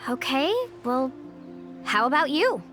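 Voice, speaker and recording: A woman's voice: sits high, lively intonation, slow pace, loud music behind the voice. A woman playing an upbeat, confident young adventurer and guide in a video game, breezy and practical.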